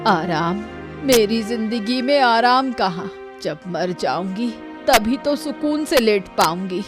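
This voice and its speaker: Elderly granny played by a woman for a cartoon tale: careworn, nagging, unhurried, medium pitch, light music behind. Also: animated. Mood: weary, worried. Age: older. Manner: scolding, lamenting. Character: careworn scolding old grandmother, loving but indignant. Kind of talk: Hindi animated story dialogue.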